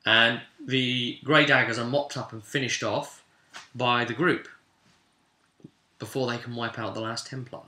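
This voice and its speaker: Bright, forceful man in an echoey room. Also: medium pitch, measured pace. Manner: animated storyteller, voicing characters' lines and addressing listeners directly. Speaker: game master and host of a tabletop roleplay recap series. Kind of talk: informal, spoken recap of a tabletop fantasy game session. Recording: echoey, roomy sound.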